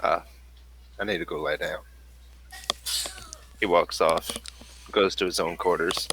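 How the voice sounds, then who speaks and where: A man with a husky voice casually role-playing in character, grumbling and narrating his character's actions. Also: sits low, fairly even intonation, a little slow, fairly bright tone, a little hiss or hum, poor audio.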